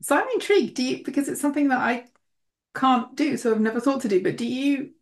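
A woman's voice: high-pitched, fast talker. A woman with an English accent in casual conversation, speaking earnestly with frequent little laughs and restarts.

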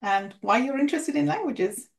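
Bright-voiced woman hosting a podcast. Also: sits high, lively intonation, normal speed. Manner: friendly, clear, encouraging questions. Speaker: podcast host, English teacher.

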